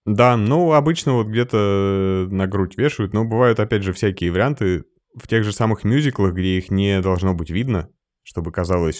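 A man with a low voice talks through microphones as a guest sound engineer. He explains things in a practical way and adds lively emphasis now and then. The recording is dry and close, with no room sound. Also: dry recording. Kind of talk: explaining podcast gear in conversation.

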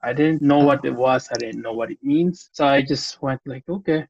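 A man's voice: medium pitch, moderate pace, gravelly, darkish tone, husky, no room sound. A man in his thirties from the Philippines, a panel guest telling his own story in a plain, matter-of-fact way. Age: 30s. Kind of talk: personal storytelling in a panel discussion. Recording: dull, muffled audio.

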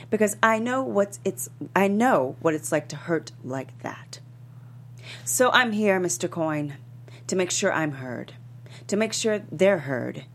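A woman in a dramatic table read playing a famous talk-show host testifying in court, wounded but defiant, earnest and deliberate. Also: low-pitched, gravelly, heavily acted. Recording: noticeable background noise and hum.

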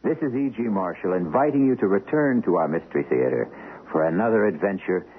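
A man hosting a vintage radio mystery, delivering a scripted sign-off in a measured, theatrical manner. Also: deep voice, expressive, husky. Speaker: host of mystery series. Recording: very noisy, narrow old radio recording.

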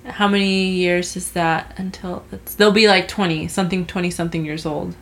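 Chatty young woman with a low voice. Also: steady tone, normal speed, vocal fry. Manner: chatty storytelling with many fillers and restarts. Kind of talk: casual chat.

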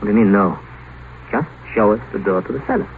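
An actor in a 1950s radio drama playing a glib, callous doctor with a mocking, breezy manner. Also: male voice, fairly low pitch, lively intonation, a little fast, creaky, phone-quality sound. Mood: brusque, teasing. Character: sardonic, glib, callous doctor, teasing and unafraid. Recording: thin, noisy old radio broadcast.

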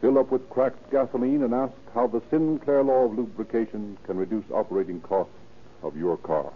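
A man's voice: mid-range voice, moderate pace. A man with an American accent delivering formal, declamatory scripted narration as a 1930s radio announcer. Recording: muffled, faintly noisy 1930s radio recording.

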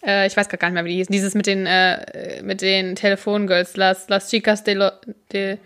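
A young woman in casual conversation, explaining at length and pausing often on fillers. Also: medium pitch, level tone, a bit bright. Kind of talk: casual chat about subtitles and series.

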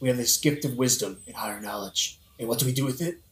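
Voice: mid-range voice, quick pace, close to an everyday voice. An actor playing a flippant, sardonic, brooding young prince in a satirical modern-language audio play. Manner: flippant, mocking, theatrical. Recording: roomy echo, faint music behind.

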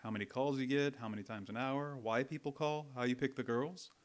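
A man's voice: mid-range voice, fairly quick, natural voice. A man playing a polite, curious magazine reporter in a radio drama, affably asking questions. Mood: affable, eager.

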